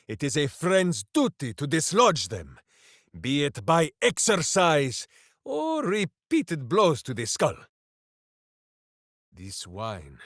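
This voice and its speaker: An actor playing a big, hearty, simple-hearted heroic warrior in a fantasy video game, earnest and grandiose in a theatrical way. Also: male voice, fairly high pitch, no room sound, studio quality. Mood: solemn. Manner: boisterous, earnest, grandiose, speaks of himself in third person.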